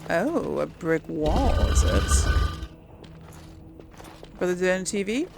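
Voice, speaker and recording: A woman doing casual, chatty video game commentary with a creaky edge to her voice. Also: fairly low pitch, expressive, slow, over loud music. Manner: chatty, talks to the audience. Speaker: gaming channel host playing through a role-playing game. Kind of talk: casual gameplay commentary with in-character dialogue read-alongs.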